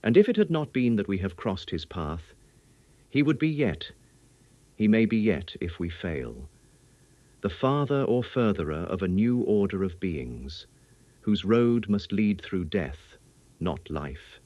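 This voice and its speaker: A man performs a learned old professor from a gothic audiobook. He lectures gravely and earnestly in quaint phrasing, his pitch rising and falling widely. The sound is dry and slightly dull. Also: big pitch swings, a little slow, no echo. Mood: grave, emphatic. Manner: emphatic lecturing in quaint, oddly ordered English. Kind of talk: audiobook character acting.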